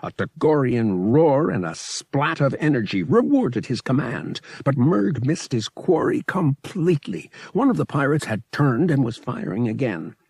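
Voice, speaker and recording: Male fiction narrator: expressive, dramatic reading, husky tone, very dry close sound. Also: mid-range voice, unhurried, hardly any pauses, no echo. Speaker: audiobook narrator.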